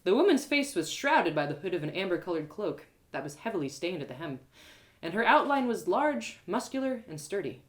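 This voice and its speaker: A woman reading a first-person fantasy story aloud as a live storyteller, expressive and with dramatic pauses. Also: fairly low pitch.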